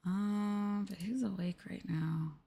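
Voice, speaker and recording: A woman with a soft, creaky voice talks gently, as on an ASMR livestream. She is hesitant and a little apologetic, murmuring to herself and slipping at times toward a whisper. Her pitch sits in a middle range. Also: fairly even intonation, takes it slowly, a little hiss or hum. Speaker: streamer, VTuber host.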